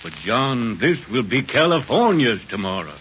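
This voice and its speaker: An actor playing a steady, philosophical pioneer consoling his friend in 1930s radio drama style. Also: medium pitch, normal speed, slight background noise. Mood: solemn consoling. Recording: muffled 1930s radio recording, loud music.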